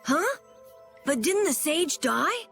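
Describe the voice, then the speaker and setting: Young boy adventurer, earnest and curious, very high and animated, game dub. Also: takes it slowly, creaky, faint music behind, full character voice. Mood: earnest, surprised. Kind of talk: anime-style game voice acting.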